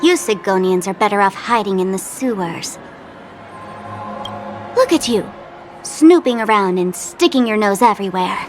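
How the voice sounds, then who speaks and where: A woman's voice: medium pitch, expressive, normal speed, pauses often, natural voice. An actress voicing a mocking masked female trickster in a video game, teasing and cruel. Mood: taunting. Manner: teasing, sly, taunting. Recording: studio voice over game music.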